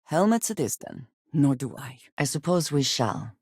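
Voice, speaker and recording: A woman voicing a guarded, devout space adventurer and loyal companion in a video game, speaking in formal, measured diction. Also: deep voice, big pitch swings, darkish tone, husky, no room sound, clean studio sound, full character voice. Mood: guarded, composed. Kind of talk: video game character dialogue.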